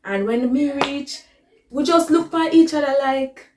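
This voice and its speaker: A woman's voice: high-pitched, unhurried, darkish tone, reverberant, slight background noise. A woman with a Jamaican accent telling a personal story in a casual, dramatic way, slipping into patois. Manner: dramatic retelling that slips into patois.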